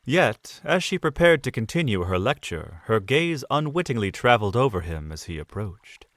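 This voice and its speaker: A man narrating an audio drama in an expressive storytelling manner. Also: mid-range voice, moderate pace, no room sound.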